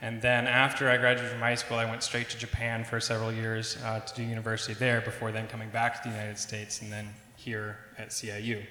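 A young man on a student panel, telling stories in a relaxed, casual way with plenty of filler words. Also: fairly low pitch, a little fast, hardly any pauses. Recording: echoey hall with faint noise.

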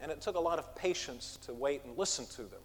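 A man preaching an expository sermon from a pulpit, measured, with emphatic rises on key words. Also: a little high, lively intonation, long reverb tail. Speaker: pastor. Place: church sanctuary.

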